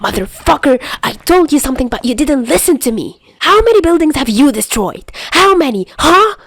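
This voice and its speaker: A woman voicing a hot-tempered, foul-mouthed young male mafioso who yells in exasperation, in an amateur audiobook. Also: sits high, very animated, normal speed, no echo, voice pushed up, heavily acted. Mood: furious. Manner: exasperated yelling, heavy swearing.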